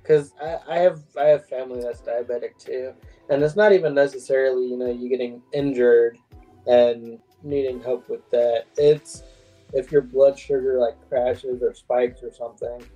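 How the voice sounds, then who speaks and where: A man's voice: mid-range voice, fairly even intonation, moderate pace, dark tone, soft background music, poor audio. A man chatting casually as a guest over a video call from a room at home. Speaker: guest co-host.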